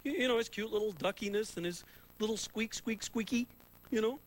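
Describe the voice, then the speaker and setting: A man's voice: high-pitched, a little fast, lightly acted, low-quality recording. An actor playing a cheerful, childlike male puppet who coaxes his friend with stammering, wheedling requests.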